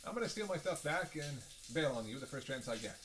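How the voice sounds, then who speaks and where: A man's voice: a little high, big pitch swings, fast talker, background noise. A man playing a sardonic, flirtatious male vampire in a roleplay audio drama, with a teasing, playful and intimate manner. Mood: wry, candid. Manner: teasing, foul-mouthed, playful, intimate delivery with sighs. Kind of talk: scripted roleplay audio drama addressed to listener.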